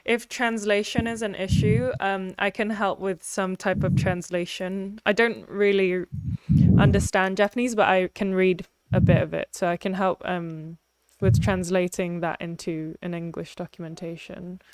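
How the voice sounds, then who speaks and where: A woman hosting a casual Q&A on a video call, encouraging and a little halting. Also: medium pitch, flat delivery, clear, resonant tone, dry recording, low-quality recording. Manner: encouraging, hesitant restarts. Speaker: host. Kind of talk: casual Q&A chat.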